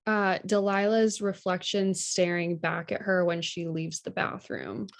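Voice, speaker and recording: A woman's voice: fairly low pitch, a little slow, vocal fry. A woman chatting casually, politely and a little tentatively asking questions. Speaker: actress at a table read. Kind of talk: casual chat. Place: indoors on a video call.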